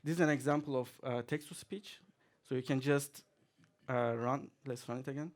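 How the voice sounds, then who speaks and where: A man's voice: medium pitch, fairly even intonation, normal speed. A man giving a chatty conference talk to an audience, with many fillers and the odd chuckle. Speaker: conference speaker. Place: conference hall, audience present.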